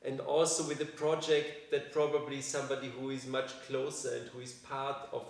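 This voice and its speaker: A man in his forties with an Austrian accent, a native German speaker, talks reflectively in an interview as an artist, haltingly and often restarting his phrases. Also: medium pitch, little pitch movement. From Vienna, Austria. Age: 40s. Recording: very echoey room, distant from microphone.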